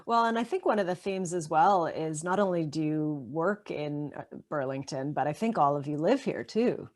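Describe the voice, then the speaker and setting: Female host, low voice, friendly panel moderator on a video call. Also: lively intonation, moderate pace. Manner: friendly, with frequent filler sounds.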